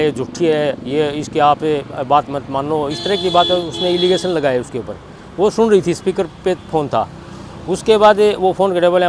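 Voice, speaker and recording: A man's voice: on the high side, darkish tone, a little hiss or hum. A middle-aged man with a Haryanvi accent recounting events in a plain-spoken, spontaneous testimony, often quoting what others said. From Haryana, India. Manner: plain-spoken, quotes reported dialogue as he narrates. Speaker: bereaved father giving a statement.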